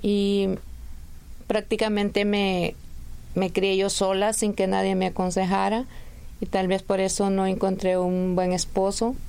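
A woman's voice: medium pitch, little pitch movement, a little slow, gravelly, darkish tone, clear, resonant tone. A middle-aged woman with a Honduran accent giving personal testimony in an interview, answering plainly and a little hesitantly.

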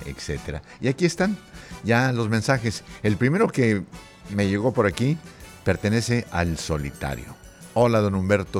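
An older man with a Mexican accent hosting a live show in a jovial, folksy, chatty way. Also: deep voice. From Zacatecas, Mexico. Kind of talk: casual chat with audience.

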